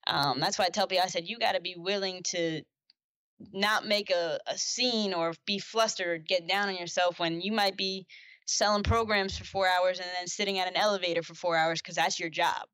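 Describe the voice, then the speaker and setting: A chatty young woman talking as a podcast guest, her voice bright and forward with a slightly low pitch. She speaks casually, full of restarts and fillers. The sound is close and dry. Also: fairly even intonation, moderate pace, no room sound. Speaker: podcast guest, basketball staff. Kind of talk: casual interview conversation.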